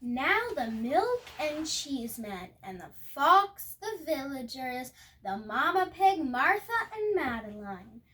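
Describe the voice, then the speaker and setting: Little girl reading a picture book out loud, high bright voice, takes her time. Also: big pitch swings, slow pace, few pauses, low-quality recording. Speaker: storybook reader. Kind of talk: reading a picture book aloud with chatty asides.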